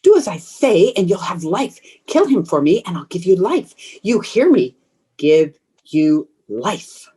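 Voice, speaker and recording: Woman playing a slow, low, menacing old vampire woman. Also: big pitch swings, dark tone, breathy edge, full character voice. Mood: urgent, commanding. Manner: slow, insistent, incantatory. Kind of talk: old-time radio horror acting.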